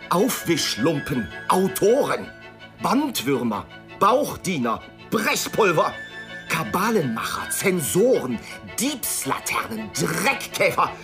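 A performer playing an indignant orator, reciting a scornful tirade of insults emphatically for a radio play. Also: mid-range voice. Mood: indignant, threatening. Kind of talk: theatrical literary recitation for radio.

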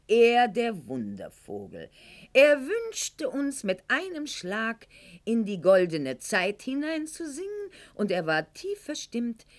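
A haughty court lady, dramatically swooping. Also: mid-range voice. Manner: haughty, articulate, declamatory, with dramatic pauses and hushed line endings. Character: proud, wounded aristocratic court lady of Goethe's Weimar, sharp-tongued. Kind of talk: theatrical radio play monologue.

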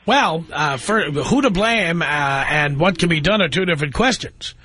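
A man's voice: a little high, animated, normal speed, bright, forceful tone, breathy edge, no room sound. A man hosting a political call-in radio show, blunt and lecturing, at times exasperated with callers. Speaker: radio talk show host.

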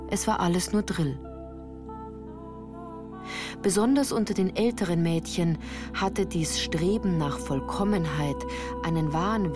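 Low-voiced woman narrating over music. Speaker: first-person narrator. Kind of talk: scripted literary narration for radio play.

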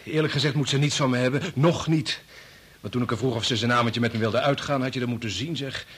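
An actor playing a cheerful, girl-chasing chatterbox with a husky voice, jovial and gossipy, in a Dutch radio drama. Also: medium pitch, fast talker, a bit bright. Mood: smitten, amused.

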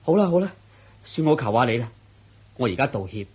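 A man's voice: mid-range voice, sing-song, fairly quick, darkish tone, breathy edge. A man playing an exasperated, henpecked merchant in a radio drama, pleading and placating in Hong Kong Cantonese. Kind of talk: theatrical radio drama acting.

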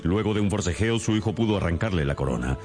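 Deep-voiced, animated male detective. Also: faint music behind. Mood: assured, explanatory. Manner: theatrical, deliberate, explanatory. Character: shrewd, self-assured male detective explaining his deductions. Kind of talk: radio drama acting.

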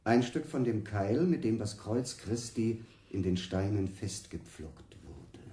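A man's voice: lowish voice, animated, unhurried, mellow, slight background noise. A man in a radio play acting a solemn church official who recites an inventory with ceremonial deliberation. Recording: roomy, echoing church-like sound.

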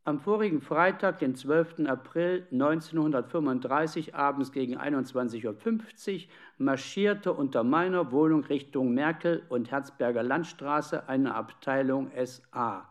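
A man's voice: medium pitch, lightly acted. An actor playing a formal, sober man reading out a 1930s police record in a documentary radio play. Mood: sober, official. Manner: measured, official.

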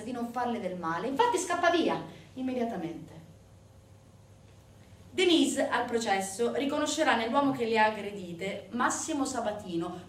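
A woman performing a dramatic theatrical monologue, intense and emphatic, swinging from hushed to loud. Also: on the high side. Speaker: stage actress narrating a monologue. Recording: roomy, distant stage recording with noise.

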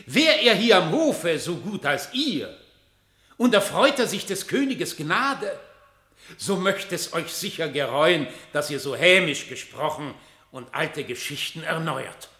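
Bold radio-drama badger: fairly high, deliberate, pointed, in a roomy space. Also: male voice, big pitch swings, pushed and bright, exaggerated voice. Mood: pointed, defiant. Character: sly, bold male badger defending his kin. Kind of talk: literary radio drama, verse declamation.

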